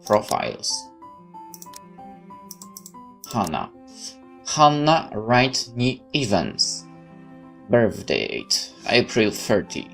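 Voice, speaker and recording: Deep voiced guy doing a lets play, reads the game text out loud, trips on words, swears, bit of music behind. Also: wildly swooping pitch, extremely slow, gravelly, pauses often. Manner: stumbles over read text, exasperated swearing asides. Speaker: gaming video host. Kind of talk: let's play, reading visual novel text aloud with reactions.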